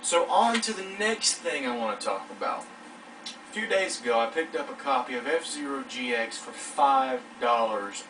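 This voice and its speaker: A man's voice: mid-range voice, expressive, moderate pace, a bit bright, a little hiss or hum. A man in his late teens chatting casually in a vlog, rambling in an informal, self-deprecating way. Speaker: vlogger. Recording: distant mic, clear music behind.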